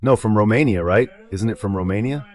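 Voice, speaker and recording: A man's voice: on the low side, a little fast, a little mellow. A man as a talk show guest in a voiced-over translation, giving conversational political commentary.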